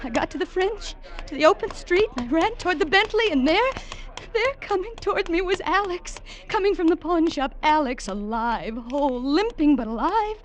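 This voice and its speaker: An actress playing a frightened, devoted young woman on the run in a 1950s radio drama, with an American accent and a halting, emotional delivery over a noisy old recording. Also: very high voice, expressive. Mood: shaken, breathless. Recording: vintage radio recording with clear background noise.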